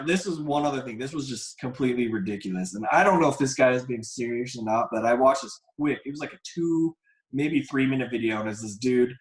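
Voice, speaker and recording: A man hosting a casual podcast, presenting to the group while losing his voice and clearing his throat. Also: medium pitch. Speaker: podcast host. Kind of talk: casual panel talk, presenting slides. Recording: echoey room.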